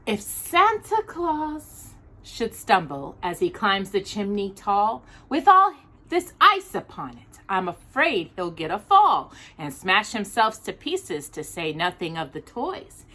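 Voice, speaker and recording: A woman hosting a children's storytime, reading aloud expressively in a deliberate storybook manner with casual asides. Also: medium pitch. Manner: animated, deliberate storybook delivery.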